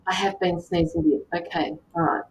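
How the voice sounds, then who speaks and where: A woman doing live tarot and mediumship readings, speaking gently to viewers by name with frequent hesitations. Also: little pitch movement, a little fast. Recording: slightly dull lo-fi sound with faint rumble.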